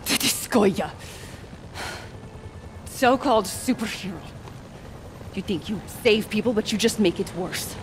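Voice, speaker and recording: Woman acting cold tough merc lady from a video game, contemptuous, bit of background rumble. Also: lively intonation, measured pace, long pauses, natural voice. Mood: cold contempt. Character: stern, scornful female mercenary commander.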